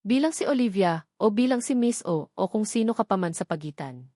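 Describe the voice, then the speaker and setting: A woman's voice: medium pitch, a little fast, no room sound, studio quality, lightly acted. A woman reading an earnest young boss in love. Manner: calm, earnest. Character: devoted young male boss, earnest and loving.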